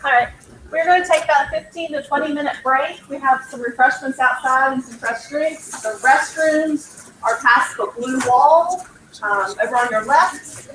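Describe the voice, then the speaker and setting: A woman making brisk, practical announcements to a room. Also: high-pitched, a bit bright, slight background noise. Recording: echoey hall, voice from far off.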